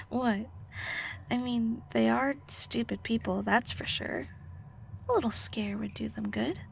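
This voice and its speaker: A woman playing a prickly, guarded but secretly caring alchemist in an audio roleplay, halting and flustered, teasing and defensive. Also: fairly high pitch, gravelly, a little hiss or hum, heavily acted. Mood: teasing, scheming. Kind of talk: audio roleplay acting, intimate one-sided dialogue.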